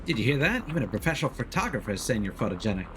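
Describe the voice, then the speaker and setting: A man acts a cheerful, encouraging video game promoter, coaxing and upbeat. The pitch is lively, and faint music sits behind it. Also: rapid-fire. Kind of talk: reading game dialogue aloud in character.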